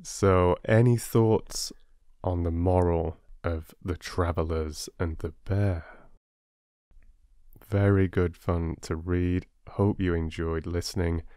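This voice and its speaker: A man working as a storyteller and host, speaking with measured, deliberate phrasing as if reading to listeners. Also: sits low, steady tone, warm tone, clean tone, no room sound, clean studio sound. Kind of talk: expressive storybook narration and listener address.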